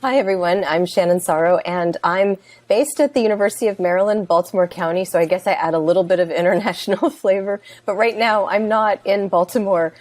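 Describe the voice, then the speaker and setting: A woman's voice: mid-range voice, fairly quick, darkish tone. A woman introducing herself as a chapter author on a video call, upbeat. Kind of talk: casual self-introduction.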